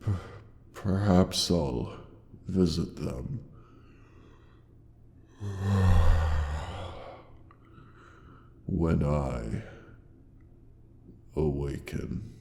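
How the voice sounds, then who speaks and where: A man playing a huge male dragon in a roleplay audio drama, grandiose yet tender and protective, with gravelly, theatrical, old-fashioned formal speech. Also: mellow, heavily acted. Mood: sorrowful, subdued. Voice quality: very deep, gravelly creaking voice. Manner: slow, theatrical, archaic formal phrasing with pauses. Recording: long cavernous reverb.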